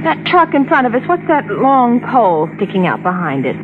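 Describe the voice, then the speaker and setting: A high, wildly swinging voice a nervous wife in a mid-century radio play. She sounds frightened, riding in a car, with loud noise around her on a muffled old recording. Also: big pitch swings, moderate pace. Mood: anxious. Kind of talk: 1940s-50s radio drama acting.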